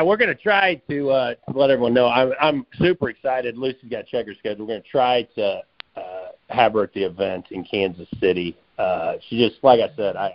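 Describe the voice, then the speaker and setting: Middle-aged male co-host, very low pitch, loose unscripted chat, dull lo-fi sound. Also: dry recording. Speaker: podcast co-host. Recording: dull, lo-fi call audio.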